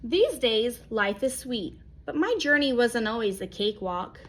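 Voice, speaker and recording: Female storyteller, high voice, expressive picture-book read-aloud delivery with dramatic pauses. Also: slight background noise. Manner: animated, acts out exclamations, dramatic pauses.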